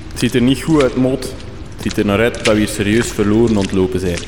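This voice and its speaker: A man's voice: lowish voice, fast, lightly acted. Echoey, folksy Flemish sidekick played by a man. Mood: wry. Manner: colloquial dialect, unbothered. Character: laid-back male sidekick, folksy, would-be musician. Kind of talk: radio drama acting. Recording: cavernous echo, faint ambient noise.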